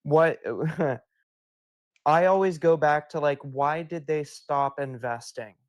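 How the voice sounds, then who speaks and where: A man's voice: a little high, takes it slowly, no room sound, studio quality. A young man co-hosting a casual podcast, a little drunk, rambling and laughing as he talks. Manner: rambling, laughs often, tipsy. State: tipsy from beer. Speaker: podcast co-host. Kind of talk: casual chat.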